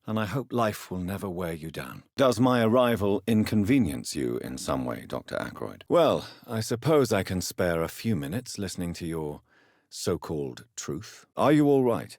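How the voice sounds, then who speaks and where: A tormented British gentleman vampire for a video game. His voice is very deep and husky, recorded close and dry. He speaks formally and articulately, with grave, stern intensity. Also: very animated, moderate pace, dry recording, heavily acted. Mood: courteous, measured. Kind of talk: video game voice acting, scripted dramatic dialogue.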